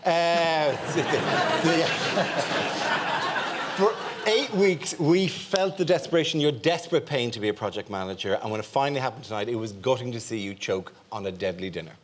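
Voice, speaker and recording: A man hosting a comic studio chat show, teasing his guest with playful, quick asides. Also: medium pitch, some chatter behind. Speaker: host. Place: TV studio with audience. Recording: studio audience noise.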